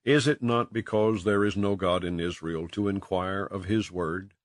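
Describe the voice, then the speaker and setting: A man narrating scripture in a solemn, measured and declamatory way, like a scripted audiobook reading. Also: low-pitched, fairly even intonation, dry recording, studio quality. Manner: measured, declamatory, with archaic phrasing.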